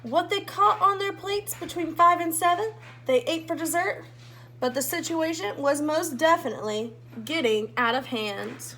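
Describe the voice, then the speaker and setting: Very high-pitched woman reading a children's storybook. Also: expressive, moderate pace, bright, forceful tone. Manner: addresses young listeners directly with questions. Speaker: read-aloud host, storyteller. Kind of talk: expressive children's storybook read-aloud.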